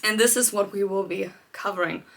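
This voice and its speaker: A woman coaching viewers in a live-streamed talk, speaking gently and reflectively, addressing them directly and laughing lightly between her points. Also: mid-range voice, brisk, vocal fry. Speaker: life coach hosting a live challenge.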